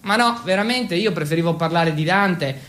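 A man's voice: high-pitched, a bit bright. A man with an Italian accent playing an awkward, feverish teenage boy in a theatrical first-person monologue. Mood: fixated. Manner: halting and fumbling when speaking English.

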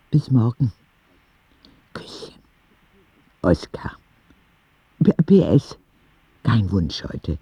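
Radio drama: earnest, confiding boy writing to God, very husky voice, lively intonation. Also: mid-range voice, dry recording, lo-fi sound, exaggerated voice. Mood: wry. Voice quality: husky, gravelly. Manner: confiding, earnest, with naive directness. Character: ten-year-old sick boy writing candid letters to God. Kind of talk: intimate radio drama letter narration.

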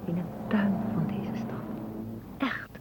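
An actress in a radio play playing a dreamy, tender woman gardener, speaking softly and poetically, often in a whisper. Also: on the low side, very animated, takes it slowly. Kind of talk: radio drama acting. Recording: muffled, noisy old radio recording.

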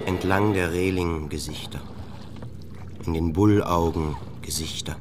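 A very low-pitched man narrates a literary radio play. His scripted prose is set over clearly audible ambient noise. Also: fairly even intonation, measured pace.

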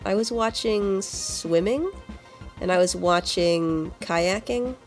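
A woman's voice: medium pitch, takes it slowly. A woman with a congested voice chatting casually as a podcast co-host. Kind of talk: casual chat. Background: music.

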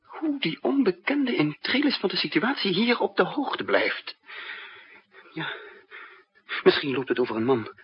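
Radio drama spy: very husky, slow, haunted and hesitant, on a dull vintage recording. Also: male voice, sits high, very animated, full character voice. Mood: worried, urgent. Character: troubled male spy living under another man's identity. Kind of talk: 1960s radio drama acting and first-person narration.

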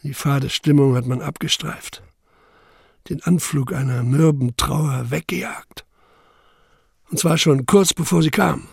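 An actor playing a young labor camp survivor who narrates in the first person, sober, measured and intense. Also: male voice, a little high, vocal fry, breathy edge, dry recording, studio quality, heavily acted.

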